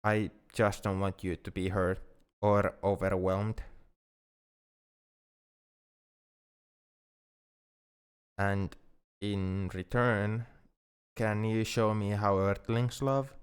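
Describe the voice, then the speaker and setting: A smooth, lowish voice belongs to a shy alien in love , played by a man. He speaks very slowly and gently, halting and repeating himself with awkward tenderness. It is an intimate ASMR roleplay. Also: fairly even intonation. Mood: gentle, reassuring.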